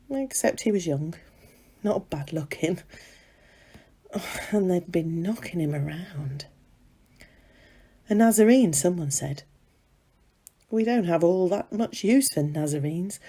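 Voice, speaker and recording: A woman reading a dramatic monologue as a gossipy serving maid of ancient times, confiding and conversational, with dramatic pauses. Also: on the low side, warm tone, husky, exaggerated voice.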